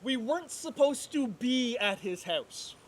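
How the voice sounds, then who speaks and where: Man playing an anxious, high-voiced, exasperated twin brother. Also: expressive, measured pace, full character voice. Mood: defensive, confused. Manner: indignant, sarcastic. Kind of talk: amateur dramatic script reading.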